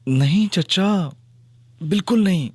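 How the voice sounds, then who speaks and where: A man's voice: high-pitched, expressive, slow pace, darkish tone, breathy edge, heavily acted. An actor playing a cocky young male detective in an audio drama, teasing and theatrical, also narrating in first person. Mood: pleading. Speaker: Ali Imran.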